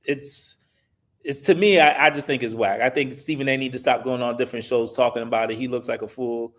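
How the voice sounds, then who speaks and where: A man talks sports as a panelist. His voice sits at an average pitch with little melody. He speaks casually and haltingly, pausing to find his words. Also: fairly even intonation, fairly bright tone. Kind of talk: casual sports opinion talk.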